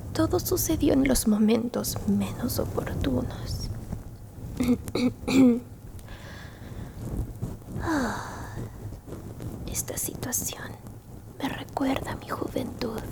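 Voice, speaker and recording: A woman voicing a gentle, bookish, elderly alien grandmother in a whispered ASMR roleplay, soothing and tender, with a breathy, creaky voice. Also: a little high. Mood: soothing, solemn. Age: older. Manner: slow, soothing whispering with soft murmured hums and pauses. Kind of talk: Spanish-language ASMR roleplay, mostly whispered.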